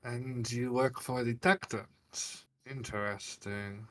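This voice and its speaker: A man's voice: mid-range voice, fairly even intonation, takes it slowly, a bit bright. A man voicing a charming, flirtatious sea captain lord, a playful rogue with a teasing, polite manner in a tabletop game.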